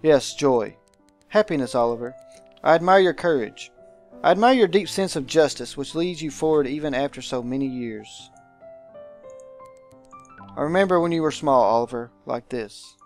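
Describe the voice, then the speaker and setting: Man voicing theatrical guardian, visual novel villain, grandiose, menacingly fond, deliberate delivery, faint background music. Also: fairly high pitch, animated, a little mellow. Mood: grandiose joy. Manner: pompous, dramatic, deliberate.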